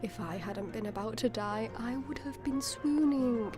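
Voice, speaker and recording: A woman voicing a young female assassin, playful, chatty and self-mocking, in a hushed, breathy whisper for an audiobook. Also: a little high, a little slow, pitched above the performer's own voice, close to an everyday voice. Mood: wry, playful. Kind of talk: audiobook character dialogue. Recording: music behind the voice.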